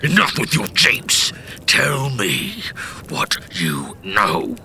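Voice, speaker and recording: An actor playing a proud, menacing warlord in a fantasy audio drama, gravelly, stern and impatient. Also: male voice, mid-range voice, huge pitch swings, very slow, pushed and bright, slight background noise, full character voice. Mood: irritated. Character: proud, grim, menacing warlord with short temper.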